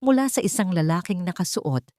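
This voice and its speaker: A woman's voice: lowish voice, expressive, brisk, warm tone, no echo, studio quality. A woman reading a scripted romance story as a dramatic narrator.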